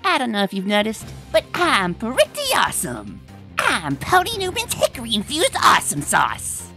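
A woman's voice: fairly high pitch, huge pitch swings, moderate pace, vocal fry, pushed and bright, exaggerated voice. A woman voicing a brash, cocky young female cartoon pegasus in a comic dub, with a boastful, dramatic delivery. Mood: determined, boastful. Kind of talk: animated comic dub acting. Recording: voice over background music.

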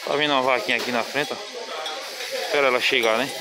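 Brief: Northeastern Brazilian male vlogger, quick loud patter, outdoor market din with a crowd all around. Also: medium pitch, steady tone, rough recording. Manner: loud, chatty, hails sellers as boss. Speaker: vlogger filming a livestock fair. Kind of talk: casual walking commentary. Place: outdoor animal fair, small town. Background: crowd and animals.

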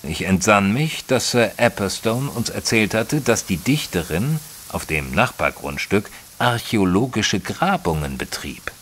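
An actor playing an educated, observant gentleman who narrates his own story in a formal, literary audio drama style. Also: lowish voice, sing-song, a little slow, hardly any pauses, a little hiss or hum. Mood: measured narrating. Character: male narrator Mister Tristram, educated, observant, easily flustered gentleman. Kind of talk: scripted first-person audio drama narration.

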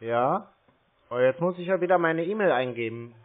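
A man on a phone call, slow and muddled, stubbornly complaining to the caller. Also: on the high side, animated. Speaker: call recipient stringing scammers along. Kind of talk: prank phone call with scammers. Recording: tinny, band-limited phone line.

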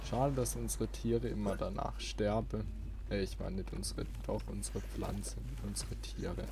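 A man's voice: fairly low pitch, fairly even intonation, a little slow, noisy background. A man giving casual, informal let's play commentary, often muttering to himself. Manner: informal, muttering self-talk. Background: game music.

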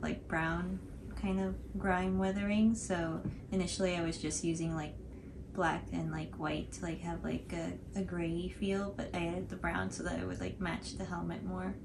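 A young woman explaining casually as a tutorial co-host, with hesitant phrasing peppered with "like". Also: fairly low pitch. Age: young adult. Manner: hesitant, filler-heavy phrasing with frequent "like". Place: small furnished room indoors, on a couch. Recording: clearly noisy home recording.

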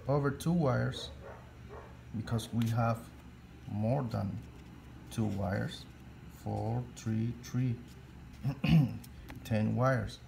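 A man with a Spanish accent, Spanish his first language, teaching an electrical calculation slowly step by step, halting and restarting phrases as he searches for English words. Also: mid-range voice, creaky, background noise. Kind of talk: slow step-by-step tutorial.

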